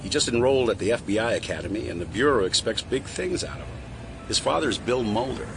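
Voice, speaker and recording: A man playing a calm, persuasive conspiracy insider in an audio drama, speaking in a measured, knowing storyteller's way. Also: mid-range voice, gravelly. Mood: knowing advice. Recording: noisy street ambience behind voice.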